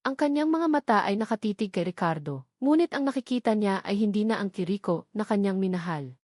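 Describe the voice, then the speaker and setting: A woman's voice: medium pitch, expressive, fairly quick, darkish tone, no room sound. Woman narrating drama, very dry sound. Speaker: story narrator.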